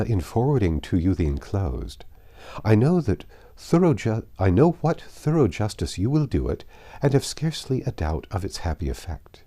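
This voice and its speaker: A man's voice: low voice, dark tone. A man voicing a kindly, genteel married woman's affectionate, formal letter in a classic novel reading.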